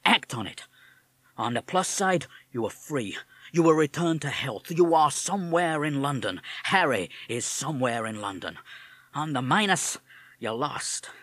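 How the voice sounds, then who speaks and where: A man's voice: mid-range voice, animated, unhurried, fairly bright tone, no room sound. A man voicing a brash, streetwise male parrot who talks himself through a plan in tough slang. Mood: scheming. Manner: tough wisecracking slang talk.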